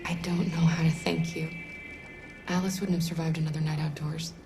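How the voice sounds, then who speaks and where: Soft, lowish female android guardian. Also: level tone, brisk, over music. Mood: subdued. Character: gentle female android, protective, earnest runaway guardian. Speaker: video game actor. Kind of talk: naturalistic cinematic game acting.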